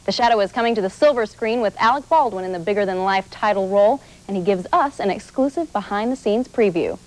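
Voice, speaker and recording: A woman's voice: mid-range voice, quick pace, slight background noise, low-quality recording. A woman reading scripted promotional narration as an entertainment news reporter.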